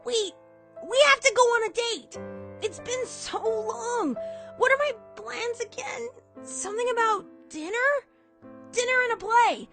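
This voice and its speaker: A woman playing a brash, excitable young female pegasus pony in an animated, emphatic fan audio drama style. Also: extremely high pitch, unhurried, vocal fry, over music, exaggerated voice. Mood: elated, excited. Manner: emphatic, emotional, impulsive.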